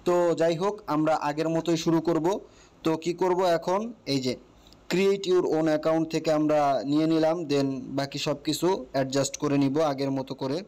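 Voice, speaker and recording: Higher-pitched Bangladeshi man, casual tutorial host. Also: flat delivery, normal speed, darkish tone, smooth. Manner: explanatory, often checks the listener follows. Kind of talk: casual step-by-step app tutorial.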